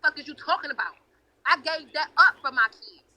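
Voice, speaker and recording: Bright, forceful young woman, high-voiced. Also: brisk, poor audio. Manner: emphatic, defensive, profane. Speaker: livestream host. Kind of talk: heated casual livestream talk.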